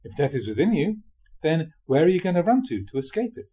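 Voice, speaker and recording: A man reading a spiritual memoir aloud expressively, in a measured way with dramatic pauses. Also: fairly high pitch, sing-song, warm tone, breathy edge, highs cut off. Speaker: reader of Buddhist teachings.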